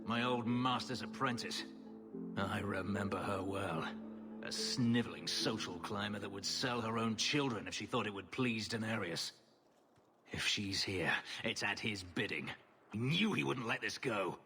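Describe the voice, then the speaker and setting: An actor playing a bitter escaped slave, an elf warrior, grave and scornful in a video game. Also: male voice, fairly low pitch, a little slow, pushed and bright, slightly rough, soft background music, full character voice. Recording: long reverb, noisy ambient background.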